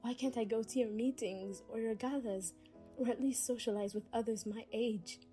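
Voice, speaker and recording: A woman playing a gentle, sheltered young vampire daughter in an ASMR audio roleplay, soft and given to whispering. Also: on the high side, steady tone, moderate pace, soft background music, natural voice. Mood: pleading, frustrated.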